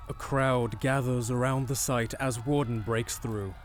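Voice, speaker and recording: A man narrating an audio drama from a script in a measured, descriptive storytelling manner. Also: medium pitch, steady tone, slight background noise.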